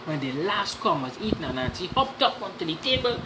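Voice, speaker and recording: A woman reads a story aloud as a storyteller, adding her own asides. Her voice is slightly low, very animated and unhurried, over clear background noise.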